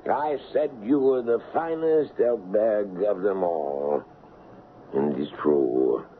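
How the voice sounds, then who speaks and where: An actor playing a loyal, grave colonel with solemn, measured delivery in a 1970s radio drama. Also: male voice, fairly low pitch. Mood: grave, admiring. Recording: dull, hissy old radio recording.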